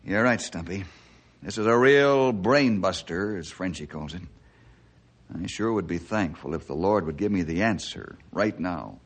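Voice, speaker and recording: Steady, earnest ranger hero. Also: male voice, low voice, a little slow, slightly rough, heavily acted. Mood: earnest, resolute. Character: earnest, steady, level-headed male forest ranger hero. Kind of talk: old-time radio drama acting.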